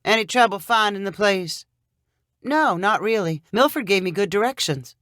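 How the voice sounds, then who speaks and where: Storybook part: confident professional woman, brisk and teasing, female reader acting, animated intonation, dry sound. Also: medium pitch, no room sound, pitched above the performer's own voice, close to an everyday voice. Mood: polite. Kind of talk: audiobook character dialogue.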